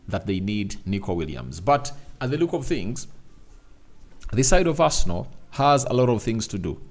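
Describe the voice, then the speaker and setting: A man's voice: fairly low pitch, darkish tone. A man hosting a casual football transfer news show, rambling and talking straight to his viewers. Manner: rambling, repeats "obviously" and "I tell you", talks to viewers directly. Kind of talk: casual solo commentary.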